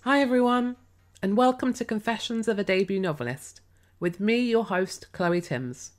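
A woman hosting a book podcast, a little croaky as she gets over a cold, reading her introduction and then asking an author questions. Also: mid-range voice, normal speed, faint music behind. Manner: friendly, scripted introductions and interview questions. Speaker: podcast host.

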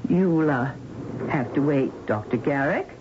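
An actress playing a polite, deferential clinic nurse in a 1970s radio drama, speaking in a hesitant, halting way. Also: female voice, low-pitched, lively intonation. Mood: uneasy, evasive. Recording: dull, noisy old radio broadcast.

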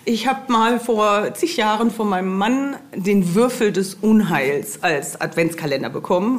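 A middle-aged woman talking casually as a podcast guest, telling anecdotes in an amused, meandering, hesitant way. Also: mid-range voice.

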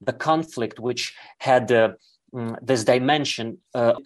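A man from Ukraine, raised speaking Russian, gives a long interview answer. He pauses often and hunts for words, filling the gaps with "like" and "uh" as he works through his thoughts. His pitch sits in a middle range. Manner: halting, searching for words, many fillers. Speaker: guest.

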